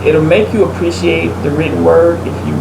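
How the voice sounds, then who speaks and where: A woman's voice: deep voice, fairly even intonation, normal speed. A woman co-hosting a casual talk show, chatting and giving her opinions in an emphatic, conversational way. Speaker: podcast co-host. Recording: roomy echo, clear background hum and noise.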